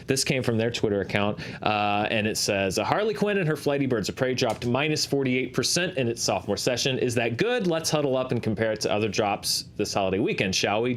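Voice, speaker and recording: A man with a mid-pitched voice hosts an entertainment chart show. He explains things conversationally, in a measured and analytical way, like a commentator walking a co-host through the details. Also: a little hiss or hum. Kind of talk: conversational box office commentary.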